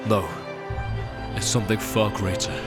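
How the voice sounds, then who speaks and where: A man's voice: fairly low pitch, steady tone, moderate pace, close to an everyday voice. An actor playing an anguished, remorseful male space explorer in an audio drama, with earnest, emotional delivery. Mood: earnest, hopeful. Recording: loud music under the voice.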